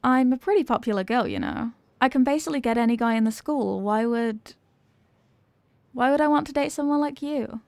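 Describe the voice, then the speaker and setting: A woman's voice: fairly high pitch, brisk, darkish tone, no room sound, natural voice. A woman playing an evasive, flustered popular cheerleader being tutored, in an acted ASMR roleplay with a hesitant, defensive delivery. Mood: haughty, teasing. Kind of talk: ASMR roleplay, acted one-sided conversation.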